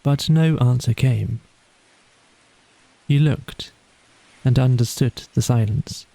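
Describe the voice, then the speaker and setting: A man's voice: mid-range voice, slow pace, creaky, dark tone, dry recording, poor audio. A man reading a scripted bedtime story as an audiobook narrator.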